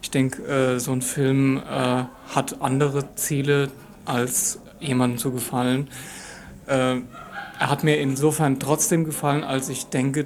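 A man giving thoughtful, hesitant interview answers, searching for his words. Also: mid-range voice. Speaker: interviewed film festival visitor. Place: on-location interview after a screening. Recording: faint background noise and ambience.

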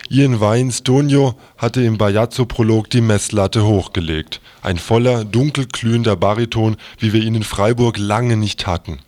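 A man with a slightly low voice reads a written review aloud. The delivery is scripted, as a quotation from a newspaper, and the sound is dry with no room on it. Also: moderate pace, hardly any pauses, darkish tone, no room sound. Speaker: reader of quoted articles.

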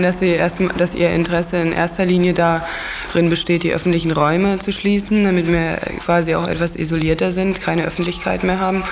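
A man being interviewed, explaining in long, winding, unscripted sentences. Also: fairly high pitch. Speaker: interviewee, house project resident. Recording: clearly noisy radio interview recording.

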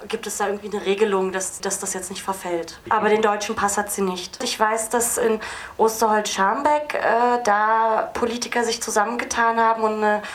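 A woman's voice: mid-range voice, steady tone, normal speed. A woman film director giving spontaneous, explanatory answers at an audience Q&A, hesitating as she goes. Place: film festival screening venue. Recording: noisy live event recording.

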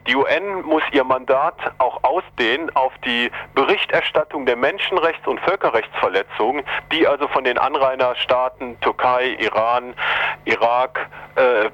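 A man's voice: mid-range voice, bright, forceful tone. A man answering as an expert in a political radio interview, speaking hesitantly and searching for words. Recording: dull lo-fi radio recording.

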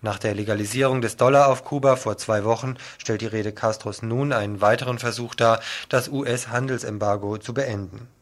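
A man's voice: mid-range voice, steady tone, moderate pace, few pauses. A man presenting scripted news on the radio, reading out headlines and reports as the host.

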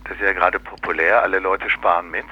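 A man's voice: sits low, steady tone, quick pace, bright, forceful tone, dull audio, a little hiss or hum. A man explaining his views casually in an interview, sardonic and colloquial, with many little tag questions.

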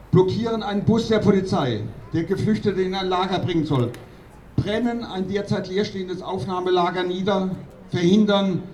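High-pitched man giving an emphatic rally speech. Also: darkish tone. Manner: accusatory, emphatic, declamatory with deliberate phrasing. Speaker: activist doctor speaking at a protest rally. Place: outdoor rally. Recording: distant outdoor mic with clear noise.

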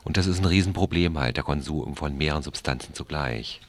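A man speaking as an expert in a discussion, explaining things in a halting, deliberate way and qualifying his points. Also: extremely low pitch. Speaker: drug treatment programme clinician.

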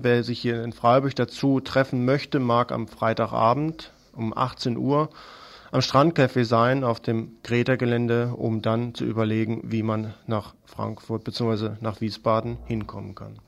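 A man's voice: mid-range voice, creaky. A man presenting scripted political news commentary on the radio.

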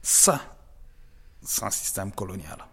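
A man from the DR Congo, a guest artist giving measured, reflective interview answers with frequent pauses and emphatic rises. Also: low-pitched, animated, bright, forceful tone, breathy edge. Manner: measured and thoughtful, pausing often, rising to emphatic stresses.

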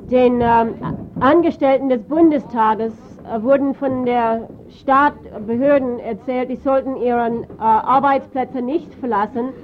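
Hesitant woman interpreter, slightly high voice. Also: fairly even intonation, a little slow. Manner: hesitant, searching for words. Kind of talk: consecutive live interpreting. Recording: dull, faintly noisy archive tape.

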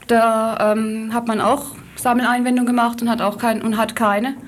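Higher-voiced woman explaining in an interview. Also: flat delivery. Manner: explanatory, halting with self-corrections. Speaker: activist interviewee from a citizens' campaign. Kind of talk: spontaneous radio interview.

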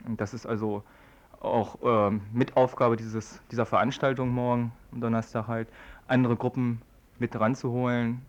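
A young man, a student activist, answering interview questions in a halting, wordy, colloquial way. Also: medium pitch, little pitch movement. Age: young adult. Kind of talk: informal spoken interview answers. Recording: dull lo-fi radio recording.

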